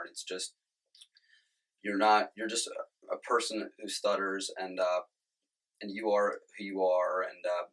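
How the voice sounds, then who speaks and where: A man who works in the fire service, talking about his stutter in a documentary interview, halting with many fillers and restarts. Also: deep voice, fairly bright tone, rough recording. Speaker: firefighter and ambulance worker.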